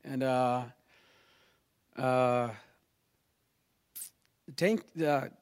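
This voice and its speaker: He is a man from Chile whose first language is Spanish, and his Chilean accent comes through. His voice sits at a middle pitch. He speaks like someone giving a personal testimony, informal and unscripted, stopping and starting as he goes. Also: steady tone, smooth. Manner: halting, informal, peppers talk with "you know" and "man". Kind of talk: personal religious testimony, spontaneous storytelling.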